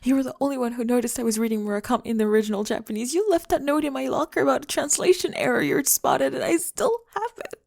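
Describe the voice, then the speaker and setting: A woman playing a remorseful, vulnerable young woman in an intimate emotional ASMR roleplay, speaking haltingly and tenderly in a breathy, often whispered voice, close to the mic. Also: high voice, darkish tone, dry recording. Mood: tearful, apologetic. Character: remorseful, vulnerable young woman confessing to someone she rejected. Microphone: close, dry microphone.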